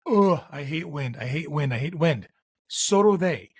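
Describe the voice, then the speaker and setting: Male podcast host, from Canada, chatty storyteller, a little higher-pitched. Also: expressive, a little slow, darkish tone, husky. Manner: chatty storyteller, frequent restarts. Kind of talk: casual interview chat.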